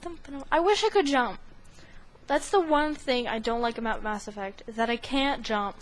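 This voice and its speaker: A woman's voice: a little high, moderate pace. A woman giving casual gaming commentary in a playful, rambling, chatty way. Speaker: gaming video host. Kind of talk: casual let's play commentary. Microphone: webcam recording setup. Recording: game music faintly underneath.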